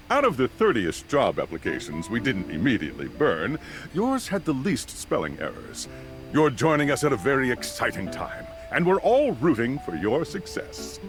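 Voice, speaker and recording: A smarmy corporate CEO. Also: male voice, medium pitch. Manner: upbeat corporate announcer, dry sarcasm. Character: smarmy corporate CEO making a cheerful announcement. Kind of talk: video game voice acting.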